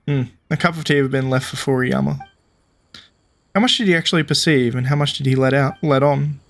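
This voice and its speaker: A woman's voice: very deep voice, steady tone, brisk. A woman giving casual commentary as she plays a video game. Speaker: streamer reacting to the game.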